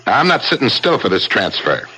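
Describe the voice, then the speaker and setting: An actor playing an ambitious, blunt veteran police inspector in a radio drama, his voice rough-edged and insistent. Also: lowish voice, very animated, fairly quick, sharp tone, exaggerated voice, rough recording. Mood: bitter, demanding. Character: ambitious, hard-bitten veteran police inspector, gruff and demanding. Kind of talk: 1970s radio drama acting. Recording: slightly rough 1970s radio drama recording.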